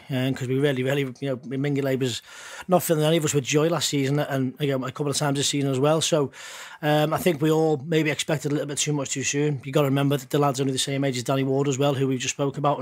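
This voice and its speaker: A man talks quickly through his view in a relaxed football podcast, chatty and a little rambling. He doubles up words as he goes, and his voice sits at a middling pitch. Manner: chatty, loose, repeats words. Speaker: podcast pundit. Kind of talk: casual football chat.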